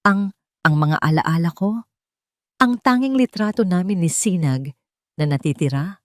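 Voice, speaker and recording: A woman's voice: on the low side, expressive, a little slow, no room sound, studio quality. A woman voicing a wronged, resolute woman bent on revenge in an audio drama, with intense delivery. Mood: stricken. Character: wronged woman in hiding, wounded, vengeful, resolute.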